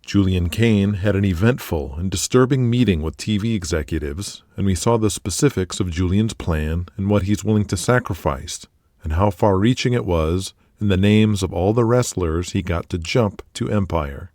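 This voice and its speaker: A man hosting and narrating an audio drama podcast in a steady, measured storytelling manner. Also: low-pitched, no room sound, studio quality. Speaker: narrator and host. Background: music.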